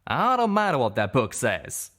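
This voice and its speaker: A man's voice: medium pitch, huge pitch swings, normal speed, a bit bright, pitched above the performer's own voice. A man voicing an earnest, protective male pegasus with folksy, drawling speech in an audiobook. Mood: stern. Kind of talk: audiobook character voice.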